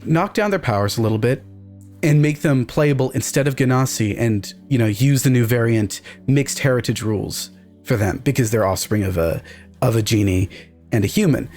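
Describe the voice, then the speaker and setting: A man's voice: mid-range voice, moderate pace, a little mellow, soft background music. Emphatic man giving candid opinions. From Canada. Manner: emphatic, candid, swears casually. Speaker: podcast guest, game writer. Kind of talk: opinionated discussion of fantasy games.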